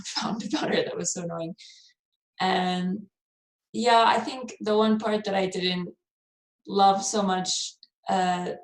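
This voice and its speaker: A woman talking casually and musingly in a book club discussion over a video call. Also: on the low side. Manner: informal, musing, non-committal. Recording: roomy, distant mic, video call.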